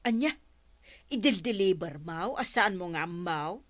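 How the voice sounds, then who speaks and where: A woman's voice: on the low side, lively intonation, measured pace, fairly bright tone, no room sound. An actress with an Ilocano accent playing a nagging, suspicious woman in a radio comedy-drama. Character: nagging, suspicious female friend of the heroine.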